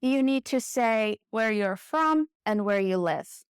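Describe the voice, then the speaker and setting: Slow, crisp-voiced English teacher woman. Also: fairly high pitch, expressive, no echo, clean studio sound. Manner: slow, clear, deliberate explanations. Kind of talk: online language lesson.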